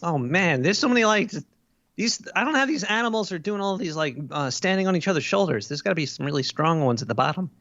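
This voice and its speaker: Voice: medium pitch, animated, fast, a bit bright, no room sound, highs cut off. A performer voicing a paranoid cartoon sewer rat in an improvised comedy podcast, talking in a casual, slangy, offhand way. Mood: offhand, joking. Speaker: podcast guest. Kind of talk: improvised in-character comedy chat.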